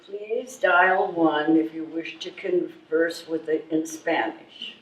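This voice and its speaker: A woman's voice: low-pitched, slow. A woman playing a part in a live readers theatre reading, reciting listings item by item. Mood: matter-of-fact. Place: live stage reading in a room. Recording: echoey, distant, faintly noisy room.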